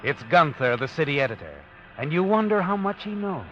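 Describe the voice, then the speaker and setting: An actor playing a sinister, all-knowing narrator of a 1940s-style radio suspense drama, speaking in a deliberate, knowing manner. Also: male voice, fairly high pitch, big pitch swings. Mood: suspenseful. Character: omniscient sinister narrator taunting the guilty character. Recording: muffled old radio broadcast recording. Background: dramatic brass music.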